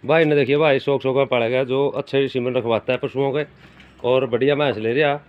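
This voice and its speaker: A man's voice: mid-range voice, fairly even intonation, fairly quick. A man with a Haryanvi accent giving informal, emphatic livestock commentary outdoors and putting questions to a farmer, repeating himself for viewers. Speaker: livestock video presenter and interviewer. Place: open farmyard with brick walls. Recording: dull phone audio with faint noise.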